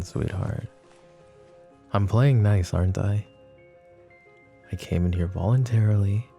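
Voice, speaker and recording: Guy doing a cocky mafia boss, deep and slow, teasing the detective, faint music behind. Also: vocal fry, mellow. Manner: teasing, taunting, calls her pet names. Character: smug flirtatious male mafia boss under questioning. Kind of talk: roleplay ASMR.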